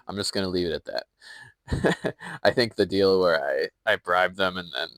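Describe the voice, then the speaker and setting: A man's voice: low voice, lively intonation, measured pace, a bit bright, no echo. A young American man chatting casually on a live stream while playing a game and reading its lore aloud. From United States.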